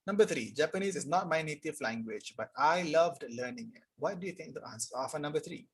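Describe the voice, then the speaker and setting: Husky young male English teacher. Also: fairly high pitch, animated. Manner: casual, explanatory, reads sentences aloud slowly. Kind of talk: live online tutorial reading chat answers.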